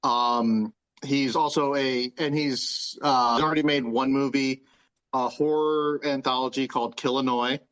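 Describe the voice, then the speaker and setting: A man's voice: medium pitch, steady tone, unhurried, fairly bright tone. A man chatting casually as a panelist on a podcast. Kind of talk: casual chat. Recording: slightly dull lo-fi audio.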